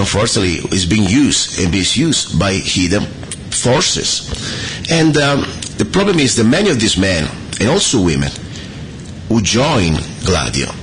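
A middle-aged man from Italy with an Italian accent, hosting an opinionated talk radio show in earnest, halting speech full of fillers and restarts. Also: fairly low pitch, a bit bright, slight background noise, rough recording.

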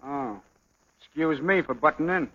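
Sharp, sneering American showbiz bully. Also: male voice, fairly high pitch, expressive, a little slow, breathy edge, muffled recording, heavily acted. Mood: brisk. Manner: sneering, slangy wisecracking. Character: cocky, jealous, bullying male showbiz dance partner. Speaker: actor.

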